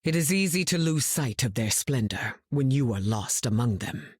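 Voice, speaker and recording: A dignified deep-voiced heroine. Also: female voice, expressive, darkish tone, breathy edge, dry recording, clean studio sound, full character voice. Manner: dignified, measured. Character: dignified weather-controlling heroine woman.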